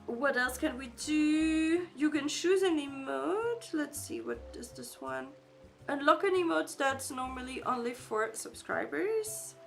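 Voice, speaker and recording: A high-pitched woman with a fried, gravelly texture talks casually to her live-stream audience. English is not her native language, and she rambles through fillers and restarts while reading chat. Light game music plays behind her. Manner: chatty, reads chat aloud, frequent fillers and restarts. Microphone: desk microphone.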